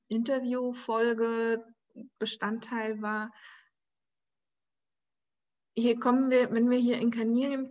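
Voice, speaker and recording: A woman hosting a spiritual podcast on her own, talking in a relaxed, searching way with many soft pauses and light laughs. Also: medium pitch, highs cut off. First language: German. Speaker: podcast host. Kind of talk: casual solo podcast monologue.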